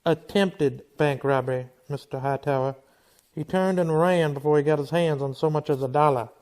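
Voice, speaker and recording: A man's voice: fairly high pitch, moderate pace, slight background noise, close to an everyday voice. Dry, stern frontier marshal, played by a man. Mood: dryly sarcastic. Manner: dry, deadpan. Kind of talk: old-time radio western acting.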